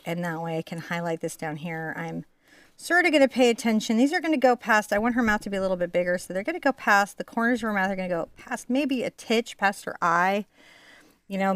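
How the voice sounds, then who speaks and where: A woman's voice: mid-range voice, smooth, dry recording. Chatty woman with a dry, close sound. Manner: chatty, digressive, informal. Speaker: host teaching a live painting class. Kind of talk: casual chat and painting demonstration. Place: indoor art studio.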